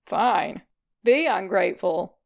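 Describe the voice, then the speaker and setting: A woman's voice: mid-range voice, expressive, slow pace, vocal fry, voice pushed down. Woman voicing a cocky, flirtatious skeleton monster. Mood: smug. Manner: swaggering, teasing, sarcastic.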